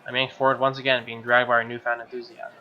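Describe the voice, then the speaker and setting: A man's voice: medium pitch, level tone, fast talker, a little hiss or hum. A man reading a visual novel aloud as a let's play host, with wry, joking casual asides. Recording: dull lo-fi sound, music behind.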